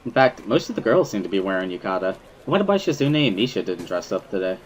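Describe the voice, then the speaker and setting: A man's voice: fairly low pitch, sing-song, fast, slight background noise. A man reading a visual novel as first-person dramatic narration, in an earnest, brooding storytelling manner. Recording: music behind the voice.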